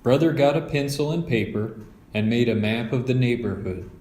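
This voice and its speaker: A man's voice: mid-range voice, normal speed, a little mellow, reverberant, slight background noise. A man narrating a children's storybook as a read-aloud.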